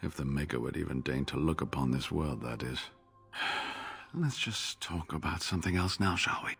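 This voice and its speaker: An actor playing an older, injured male scholar in a video game, weary and sarcastic, talking in a measured way. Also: a bass voice, gravelly, a bit bright, slightly rough, full character voice. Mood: bitter. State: injured, exhausted. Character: older male scholar, wounded captive, wry. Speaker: game character.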